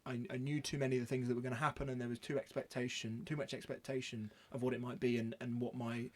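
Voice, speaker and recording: A man's voice: mid-range voice, little pitch movement, fast, darkish tone. A man co-hosting a film podcast in a casual, rambling chat, laughing often. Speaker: podcast co-host.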